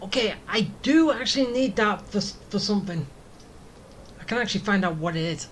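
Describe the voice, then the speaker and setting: A woman's voice: mid-range voice, lively intonation, brisk, a little hiss or hum. A woman doing casual gameplay commentary, playfully muttering and scolding at the game. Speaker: gaming streamer. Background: loud game music.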